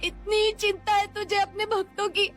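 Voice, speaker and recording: Woman voicing a high plaintive orphan street boy. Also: little pitch movement, normal speed, faint music behind. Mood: hurt, reproachful. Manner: Mumbai street-slang Hindi.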